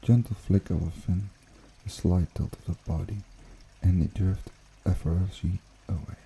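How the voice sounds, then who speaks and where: A man guides a meditation in a very mellow, breathy, husky voice with some creak at the edges. He speaks softly and slowly in an ASMR style, pausing gently between short phrases. Water trickles behind him. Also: low-pitched, steady tone. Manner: hushed, unhurried, with gentle pauses. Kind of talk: ASMR guided meditation, slow soothing narration. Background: pond water bubbling and trickling.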